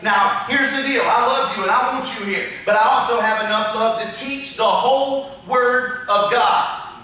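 A man's voice: very high voice, steady tone, normal speed, sharp tone. A middle-aged man preaching a sermon on a church stage into a handheld mic, building emphatic lists of repeated phrases. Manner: emphatic, repetitive preaching with long rhetorical lists. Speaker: pastor. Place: dark church stage with projection screen. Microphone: handheld mic, filmed from a far camera. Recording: echoey church hall from far off.

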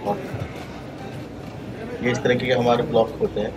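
A man's voice: mid-range voice, moderate pace, a loud crowd. A man casually showing viewers around his exhibition stall, explaining step by step. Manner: relaxed, explains step by step, fillers like "bole to". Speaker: exhibitor giving a stall tour. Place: busy exhibition hall.

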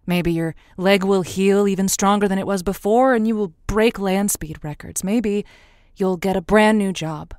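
A woman's voice: mid-range voice, normal speed, hardly any pauses, darkish tone, clear, resonant tone, dry recording, clean studio sound, close to an everyday voice. A woman playing a sympathetic, folksy advice host on a radio drama, with a reassuring way of talking. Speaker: radio call-in show host. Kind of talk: scripted radio advice show.

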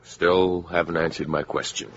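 A man's voice: low voice, fairly even intonation, normal speed, gravelly, husky, full character voice. An actor playing a confident, teasing salesman courting his sweetheart in a 1950s radio drama. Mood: flirtatious. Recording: dull, hissy 1950s radio recording.

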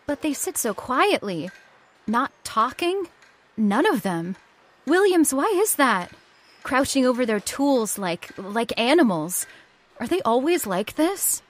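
A woman performs a young heiress in an old-time radio horror drama. Her voice is high and very animated, dramatic and disbelieving, full of insistent distress. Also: takes it slowly, full character voice. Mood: horrified. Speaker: actor in audio horror drama.

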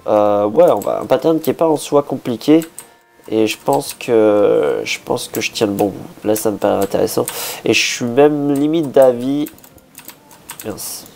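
A man with a hoarse voice, a bit sick, casually talking through a building project, thinking aloud with many hesitations and restarts. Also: mid-range voice, a little slow, a little mellow, a little hiss or hum. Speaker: Minecraft builder.

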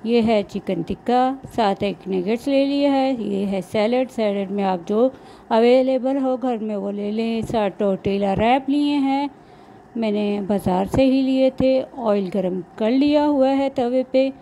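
Woman doing recipe voiceover, kinda high mellow voice, goes step by step. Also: measured pace, poor audio. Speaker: cooking vlog host. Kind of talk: recipe voice-over narration. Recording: clear background noise, homemade recording.

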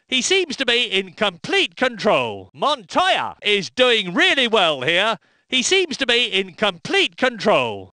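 An older British man commenting on motor racing, excitable and emphatic, speaking into a handheld lip mic for recorded game clips. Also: high voice, no room sound, clean studio sound. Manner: excitable, emphatic, rising to bursts of alarm.

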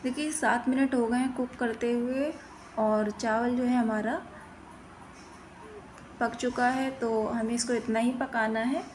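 Lady doing a home cooking voiceover, flat delivery, kinda high voice, noticeable background noise. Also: unhurried, pauses often. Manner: instructional, plain-spoken. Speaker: cooking channel host. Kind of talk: step-by-step recipe voice-over narration.

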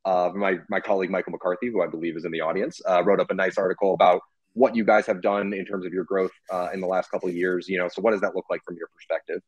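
A man's voice: sits low, flat delivery, fast talker, husky, dry recording. A young man interviewing a guest over a video call, in a casual way with many fillers. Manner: casual, filler-heavy questioning. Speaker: interviewer, writer. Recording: dull, lo-fi video call audio.

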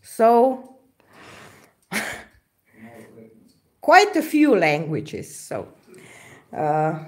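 A woman in her forties from Slovenia with a Slovenian accent, chatting casually on a live stream, halting and searching for words with many fillers. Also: fairly low pitch, huge pitch swings, darkish tone. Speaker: acrylic pouring artist hosting a live stream. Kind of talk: casual live-stream chat reading viewer comments. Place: indoor craft table, camera overhead.

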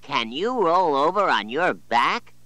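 Guy doing squeaky thrilled little kids, super high and lively. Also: takes it slowly, dry recording, exaggerated voice. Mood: excited. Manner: squealing, excited. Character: excited group of young children.

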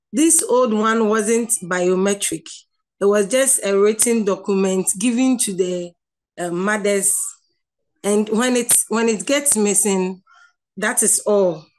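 A woman with a Ghanaian accent giving an online lecture over slides, explaining slowly with many restarts and filler sounds. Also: medium pitch, darkish tone.

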